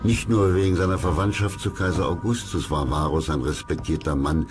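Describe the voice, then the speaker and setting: A man narrates a documentary in an extremely deep voice. His delivery is scripted storytelling, and loud music plays underneath him throughout. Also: fairly quick. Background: loud background music.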